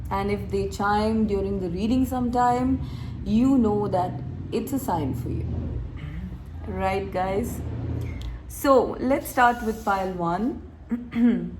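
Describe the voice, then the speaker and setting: A woman recovering from a cold, reading tarot to her viewers in a chatty, relaxed way, laughing and clearing her throat often. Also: medium pitch, takes it slowly, long pauses, background noise. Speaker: tarot reader. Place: room with door open, wind chimes outside.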